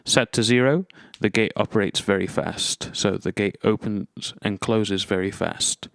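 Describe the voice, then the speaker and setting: A man gives an instructional demonstration in a fairly low voice. He explains things methodically, one step after another. His delivery is clean and resonant, recorded very dry with no room sound. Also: steady tone, measured pace, vocal fry, dry recording. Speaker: tutorial presenter.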